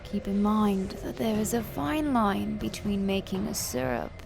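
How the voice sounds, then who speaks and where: A woman voicing a genteel, macabre vampire countess who narrates a recipe in slow, deliberate, breathy ASMR style with creaky fry. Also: mid-range voice, music in the background. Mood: hushed, instructive. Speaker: host.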